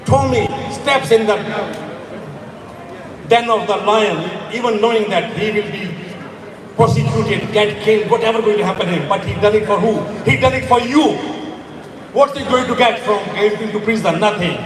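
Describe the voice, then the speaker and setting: A middle-aged man from Afghanistan giving an impassioned stage speech at an outdoor rally. He is emphatic, rises to shouts and repeats words for force. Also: sits high, moderate pace, crowd noise. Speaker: rally speaker. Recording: echoey PA heard from far off.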